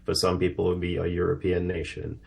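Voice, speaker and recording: A man's voice: low voice, completely flat delivery, fast, creaky. A man from Trinidad and Tobago, a guest in a live TV interview who answers earnestly and reflectively, with plenty of fillers. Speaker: aerospace professional guest.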